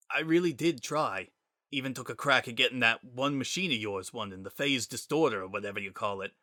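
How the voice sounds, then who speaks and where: A man voicing a wry, laid-back skeleton who hides his grief behind casual, halting, self-deprecating talk in a story reading. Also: medium pitch, pushed and bright, pitched above the performer's own voice. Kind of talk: dramatic fan-fiction reading.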